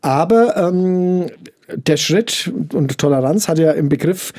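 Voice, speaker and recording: A middle-aged man with a Franconian accent, answering interview questions in a measured way, often pausing with fillers as he searches for words. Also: a little high, expressive, darkish tone. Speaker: interview guest.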